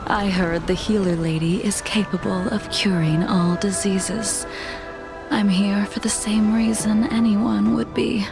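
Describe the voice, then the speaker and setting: A woman voicing a cold, haunted woman warrior in a video game, calm, formal and measured. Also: medium pitch, creaky. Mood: cool, calm. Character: cold, composed blindfolded woman warrior haunted by her past. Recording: music playing behind the voice.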